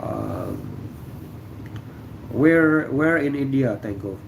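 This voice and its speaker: A middle-aged man from Indonesia hosting a relaxed livestream, answering viewer comments with lots of fillers and pauses. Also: medium pitch, gravelly, a little hiss or hum, rough recording. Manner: relaxed, halting, many fillers. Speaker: livestream host. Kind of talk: casual livestream chat reading viewer comments. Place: room indoors.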